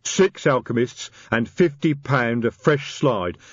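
A man's voice: medium pitch, animated, takes it slowly, no echo, muffled recording. Man doing a blunt, massive troll sergeant. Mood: happy, proud. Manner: blunt, literal, rough slangy grammar. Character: stolid, cheerful troll police sergeant, massive.

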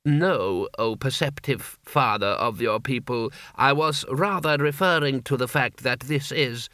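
A man voicing an obsequious, scheming older imperial vizier with florid, unctuous flattery, in an audiobook story. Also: mid-range voice, a little slow, dry recording. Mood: oily deference.

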